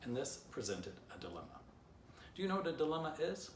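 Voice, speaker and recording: A man's voice: fairly high pitch, sing-song, fairly quick. A man narrating a children's storybook as its author, with playful asides straight to the listener. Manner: playful direct address to the listener. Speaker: author reading aloud. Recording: roomy, distant mic, faint noise.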